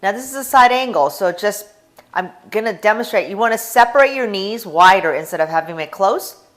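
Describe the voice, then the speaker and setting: Calm American woman guiding stretches. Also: mid-range voice, a little fast. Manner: calm, guiding with breathing cues. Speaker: chiropractor. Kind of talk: instructional stretching demonstration.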